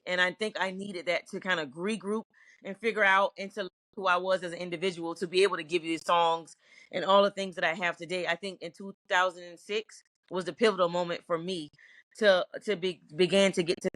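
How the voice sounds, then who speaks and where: A middle-aged woman from Virginia talks through her career in an earnest, reflective way, often circling back to restart a sentence. Her voice is bright and forward. The sound is dry and close. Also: fairly low pitch, steady tone, fairly quick, no room sound. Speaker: singer, interview guest. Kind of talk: candid interview answers.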